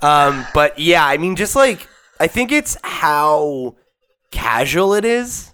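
Bright young guy in his 20s, says like a lot, swears, podcast chat. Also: a little high, big pitch swings, slow pace, clear, resonant tone. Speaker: podcast co-host. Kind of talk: casual book club discussion.

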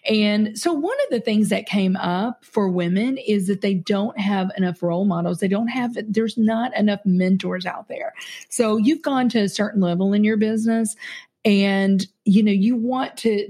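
Female business leader, interview guest, warm mellow voice, earnest and halting. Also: mid-range voice. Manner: earnest, hesitant, many fillers. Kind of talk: casual interview chat.

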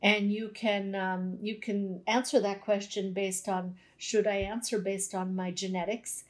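A woman's voice: mid-range voice, flat delivery, a little slow. A Canadian woman, a naturopathic doctor, explaining nutrition in a conversational interview with everyday examples.

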